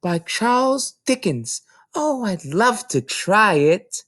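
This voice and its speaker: A man's voice: high voice, very animated, slow pace, fairly bright tone, dry recording, studio quality, voice pushed up, exaggerated voice. A man voicing a polite, earnest and precocious four-year-old girl who loves books. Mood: polite, eager.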